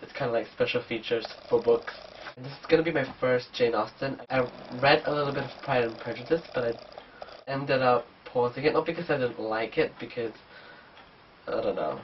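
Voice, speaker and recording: A man's voice: mid-range voice, moderate pace, a little hiss or hum. A man with a cold and a blocked, nasal voice, chatting casually through a book haul vlog. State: sick, blocked nose. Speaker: book vlogger. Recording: distant dull camera mic.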